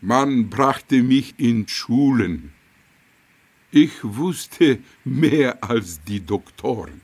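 An actor playing a serene holy master of compassion in a radio drama, solemn and measured, with long pauses. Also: male voice, mid-range voice, animated, darkish tone, heavily acted. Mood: solemn recollection.

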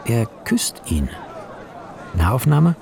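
A man's voice: mid-range voice, very animated, takes it slowly, gravelly, a little mellow, a faint crowd, full character voice. A man reading film scene directions as the narrator of a radio play, in clipped, descriptive phrases. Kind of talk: scripted radio drama narration. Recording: music under the voice. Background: music.

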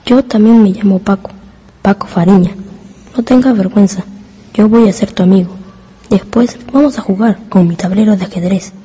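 A woman's voice: medium pitch, moderate pace, mellow, slightly rough. A woman playing a friendly, bossy, chatty young schoolboy in a radio drama, insistent and confiding. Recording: long hall reverb.

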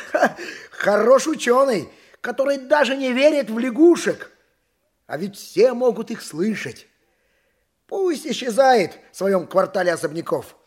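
High, husky, swooping donkey driver. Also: male voice. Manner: muttering to himself, wheedling, exclaiming. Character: boastful, simple donkey driver who likes a drink. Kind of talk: theatrical radio play acting.

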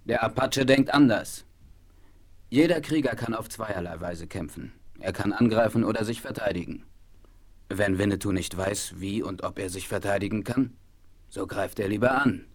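A man acts out a proud Apache chief in a 1970s German radio play. His voice is low, and he speaks in solemn, formal phrases, with measured weight on his words. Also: natural voice. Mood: resolute. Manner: formal, measured, speaks of himself in third person. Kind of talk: 1970s German audio drama acting.

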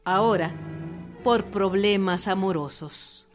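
A woman's voice: mid-range voice, slow. A woman with a Mexican accent narrating a historical radio documentary from a script. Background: instrumental music, fiddle.

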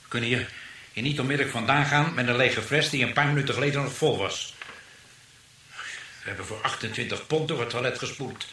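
An actor plays an incredulous husband in a radio play, with a bright, tense male voice. He is flustered and repeats himself in exasperation. The sound is roomy, with faint noise. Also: mid-range voice, brisk, pauses often, lightly acted. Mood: exasperated. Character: flustered, money-minded husband and nephew, incredulous. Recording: roomy, slightly noisy radio recording.

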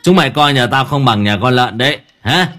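A man's voice: mid-range voice, expressive, brisk, sharp tone, soft background music. A man voicing a cruel, arrogant young landlord's son who growls mocking commands. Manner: growling, sneering, harsh commands.

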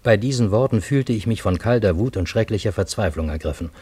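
A lowish, measured voice narrates a radio play in the first person. The storyteller is ironic and bewildered, with literary phrasing and a close, dry sound. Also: male voice, expressive, darkish tone, husky, no room sound. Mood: wondering, ironic. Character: bewildered, ironic male storyteller recounting fantastic events.

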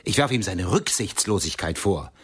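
A proud, cultured art lover in a radio play. The voice is low and husky, and he argues insistently, picking at words like someone easily offended. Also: lively intonation, moderate pace, full character voice. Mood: indignant. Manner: emphatic, pedantic, insistent.

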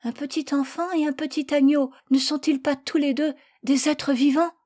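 A woman's voice: high voice, steady tone, moderate pace, mellow, voice pushed up, close to an everyday voice. A woman voicing a tender-hearted, stubborn little boy who asks earnest, pleading questions.

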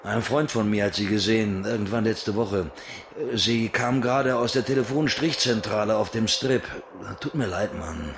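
Brief: male actor as wisecracking, wary back-room dealer, radio crime drama; lowish voice, laid-back, noisy ambience. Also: normal speed, lightly acted. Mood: apologetic.

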